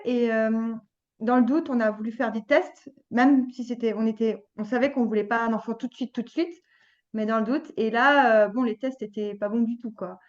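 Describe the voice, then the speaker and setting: A woman's voice: a little high, fairly even intonation, normal speed, darkish tone, highs cut off. A woman in her thirties telling a personal story as a podcast guest, hesitant, with many fillers and restarts. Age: 30s. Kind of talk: personal story, casual chat.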